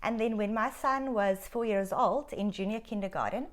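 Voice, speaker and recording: A woman's voice: mid-range voice, moderate pace. A middle-aged woman with a South African accent, a doctor telling stories with enthusiasm as an interview guest. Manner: animated, storytelling with enthusiasm. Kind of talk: conversational interview.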